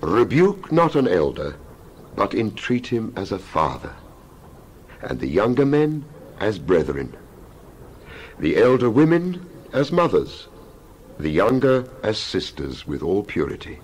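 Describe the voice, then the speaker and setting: A man with a low voice reads scripture as a dramatic performance. His delivery is solemn and declamatory, laid out in measured phrases, with the pitch rising and falling widely as he goes. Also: very animated, a little hiss or hum. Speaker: Bible reader.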